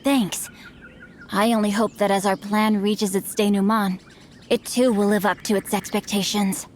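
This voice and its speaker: A woman's voice: medium pitch, fairly even intonation, normal speed, background noise, close to an everyday voice. A woman playing a bookish young nobleman in a video game, speaking formally and theatrically with a self-satisfied air. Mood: enraptured, refined. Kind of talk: video game character acting.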